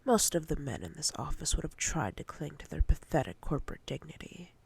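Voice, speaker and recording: A woman playing a dominant, possessive female boss in roleplay ASMR, commanding and teasing in a breathy, often whispered voice. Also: low voice, big pitch swings, darkish tone, full character voice. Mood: smug, commanding. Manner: slow, deliberate, commanding.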